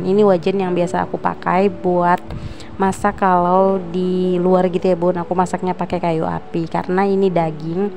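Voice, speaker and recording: A young woman with a hoarse voice from a sore throat, chatting casually as she narrates a cooking vlog voice-over. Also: lowish voice. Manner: chatty, addresses viewers as "Bun". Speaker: vlogger. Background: background music.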